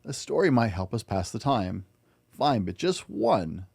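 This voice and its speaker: A man's voice: medium pitch, big pitch swings, unhurried, creaky, darkish tone, husky, dry recording, heavily acted. Man voicing snooty, vain colourful owls. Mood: grudging. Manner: snooty, languid. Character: vain, pretty colourful owls, haughty and aloof.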